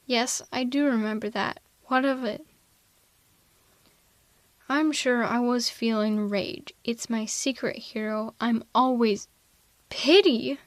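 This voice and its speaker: A woman playing a haughty, sardonic telepathic villain in an ASMR roleplay audio drama, theatrical and quick to justify herself. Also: a little high, steady tone, dry recording. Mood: defensive, dismissive, then flustered, indignant. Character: female telepathic villain, haughty, misanthropic, secretly soft-hearted. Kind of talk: ASMR roleplay audio drama, close one-sided dialogue.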